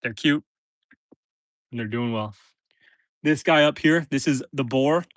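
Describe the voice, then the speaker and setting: A man's voice: medium pitch, a little slow, dry recording. Stuffy-nosed man chatting on a homestead stream. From northern Canada. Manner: relaxed, chatty, talks to animals and viewers. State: stuffy nose. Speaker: homesteader livestreaming. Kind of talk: casual live stream chat. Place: outdoors in a forest pen.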